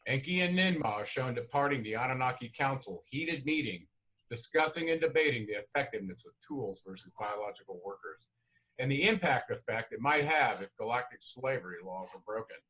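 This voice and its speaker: A man's voice: mid-range voice, lively intonation, brisk, sharp tone, rough recording. A man reading scripted screenplay scene directions as narrator.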